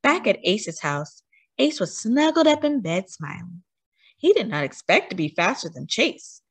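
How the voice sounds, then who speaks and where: Lively woman reading a storybook aloud. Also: mid-range voice, a little slow, breathy edge, no echo. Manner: animated, emphatic storytelling delivery. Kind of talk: expressive read-aloud for children.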